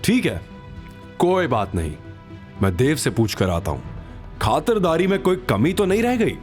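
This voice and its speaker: A man playing a cocky, calm and menacing fighter in an audio drama, with a taunting, smug manner. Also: fairly high pitch, moderate pace, faint music behind, pitched above the performer's own voice. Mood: sarcastic menace. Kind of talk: Hindi audio drama acting.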